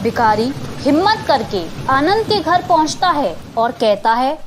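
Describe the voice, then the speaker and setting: A woman's voice: high-pitched, sing-song, measured pace, noisy background. A woman narrator reading a scripted children's moral story.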